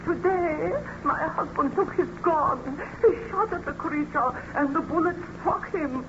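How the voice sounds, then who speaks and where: Grieving peasant widow, high pitch, slow halting speech, fearful, pleading, vintage radio melodrama, noisy muffled recording. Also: female voice. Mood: shaken. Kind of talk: old-time radio drama acting.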